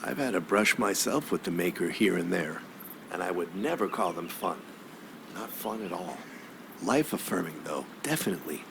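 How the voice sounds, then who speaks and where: An actor playing a courtly, weary old newspaperman in a video game, speaking in a measured, avuncular way with florid phrasing. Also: deep voice, expressive, gravelly, background noise. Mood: fond, reflective.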